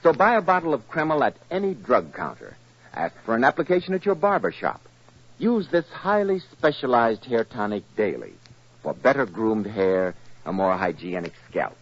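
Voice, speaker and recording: A man's voice: medium pitch, very animated, normal speed. An American man announcing in polished, emphatic 1940s radio sales style. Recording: muffled 1940s radio recording.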